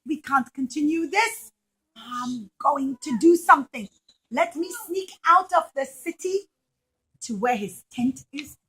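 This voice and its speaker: A brave young widow from a puppet show acted out by a woman storyteller, high-voiced and dramatic. She is cunning under a sweet, coaxing manner. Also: expressive, a little slow, a bit bright, poor audio. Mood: resolute.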